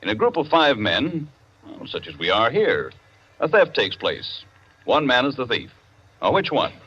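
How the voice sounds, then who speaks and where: An actor playing a brisk, matter-of-fact clubman debating with his friends in a 1940s radio drama. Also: medium pitch, very animated, sharp tone, breathy edge, full character voice. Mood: challenging. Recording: dull, band-limited 1940s radio recording.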